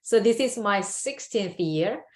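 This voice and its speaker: Middle-aged woman from South Korea, careful answers full of pauses, roomy echo. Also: mid-range voice, lively intonation, darkish tone. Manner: thoughtful, with frequent "uh" pauses. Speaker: guest professor. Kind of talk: interview answers.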